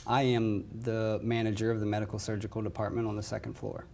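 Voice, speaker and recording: A man's voice: on the low side, little pitch movement, quick pace, vocal fry, a little mellow. A man from West Virginia, a hospital nurse manager, giving polite and explanatory interview answers with a bit of dry humor.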